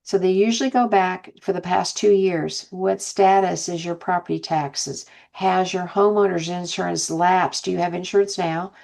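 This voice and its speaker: A woman with a fairly low voice and very heavy vocal fry speaks as a counselor giving an informal lesson. Her intonation stays flat while she rambles through explanations and hypothetical examples. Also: normal speed, few pauses. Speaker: housing counselor presenting.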